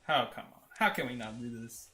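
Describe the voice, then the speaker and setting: A young man commentating his own gameplay live, excitable and laughing often, going from loud disbelief to low mutters. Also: fairly quick, a little hiss or hum. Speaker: game streamer.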